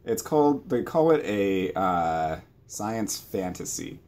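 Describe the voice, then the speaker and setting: A man talking casually about books as a channel co-host, in a hesitant, self-correcting way with a husky voice. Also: fairly low pitch, very animated, slow, darkish tone. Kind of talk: casual book chat.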